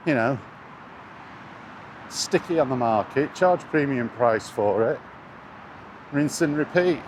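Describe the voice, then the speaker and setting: A British man in a casual, sweary rant, speaking bluntly and scornfully as a gaming vlogger out on a walk. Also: mid-range voice. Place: outdoors, walking along near traffic. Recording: outdoor street and vehicle noise.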